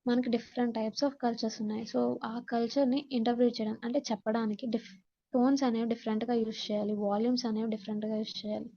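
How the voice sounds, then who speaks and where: A woman's voice: on the high side, flat delivery, fast, dull audio. A woman with a Telugu accent giving a lesson as an instructor, reading out points and then explaining them with repetition. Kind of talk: slide-based lesson, explaining in Telugu and English.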